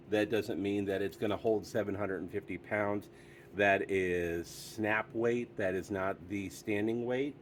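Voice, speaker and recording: A man talks through a product review in a low, unhurried voice, easygoing and chatty, with plenty of fillers. A faint hum of background noise sits under his speech.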